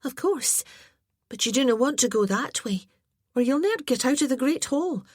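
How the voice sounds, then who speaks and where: A woman's voice: high-pitched, animated, normal speed, no room sound, studio quality, pitched above the performer's own voice. A woman voicing a clumsy, tearful young noblewoman who talks in a breathless, apologetic rush. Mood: nervous, eager.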